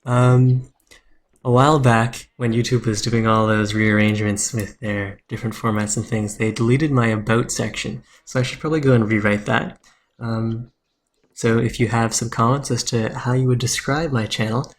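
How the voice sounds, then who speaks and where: Man, mid-pitched, soothing voice; calm live game streamer explaining moves, keyboard clicks behind. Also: a little hiss or hum.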